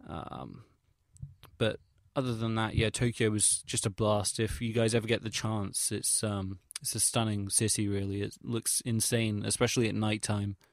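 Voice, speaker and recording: A young English man with a fairly low voice talks in a casual audio log, recorded close and dry. He rambles hesitantly through his recollections, using slangy, sweary, self-deprecating wording. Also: fairly even intonation, normal speed, no room sound. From England. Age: young adult. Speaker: vlogger.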